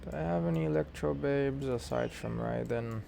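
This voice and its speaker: A man's voice: mid-range voice, normal speed, creaky, music in the background. A man giving casual gameplay commentary as a game streamer.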